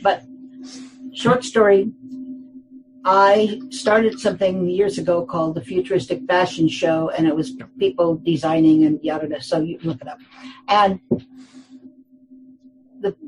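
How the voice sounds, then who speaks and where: A woman's voice: on the low side, fairly even intonation, unhurried, long pauses, background noise. A woman in her eighties, a guest telling rambling anecdotes in a casual interview, full of fillers and restarts, often laughing.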